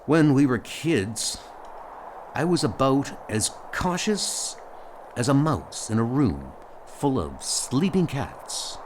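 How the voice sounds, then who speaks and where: A man playing a measured, earnest, loyal starship captain in an audio comic dub. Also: mid-range voice, big pitch swings, slight background noise. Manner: deliberate, earnest.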